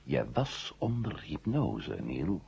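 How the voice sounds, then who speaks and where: A dull vintage radio recording of a commanding space hero. His deep voice is very husky and his intonation swings widely, firm and reassuring in a deliberate way. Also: huge pitch swings, a little mellow, heavily acted. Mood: hushed, soothing. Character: steady, commanding male space hero, calming a shaken comrade. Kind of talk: 1970 Dutch science-fiction radio drama acting. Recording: muffled 1970 radio drama recording.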